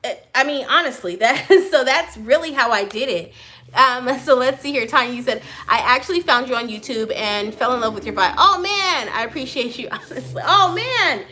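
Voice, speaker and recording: A woman with a notably high, bright voice hosts a live stream from her print shop office. She talks loudly and animatedly and breaks into big laughs between her answers to viewers. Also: expressive, normal speed. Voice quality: high voice she compares to a cartoon mouse. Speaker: small business owner and channel host.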